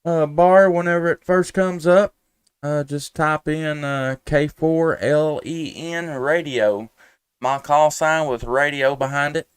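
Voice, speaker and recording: A man's voice: on the high side, steady tone, takes it slowly, smooth, no room sound. A man, chatting casually as a ham radio operator on a live stream in a folksy, easygoing way with call-sign phrasing. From United States, Tennessee.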